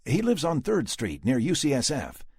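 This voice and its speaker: A man's voice: mid-range voice, huge pitch swings, moderate pace, darkish tone, husky, dry recording, studio quality, pitched above the performer's own voice, full character voice. A man doing a stubborn, clipped cop dad. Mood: firm. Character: determined police officer father, stubborn, short-tempered.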